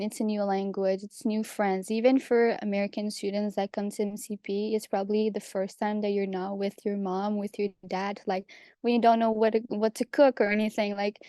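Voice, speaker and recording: Mellow young Québécoise woman, even-toned. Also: mid-range voice, no room sound, studio quality. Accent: Quebec French. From Quebec, Canada. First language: French. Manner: chatty, relaxed, lots of "like". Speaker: pharmacy student panelist. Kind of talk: casual panel talk.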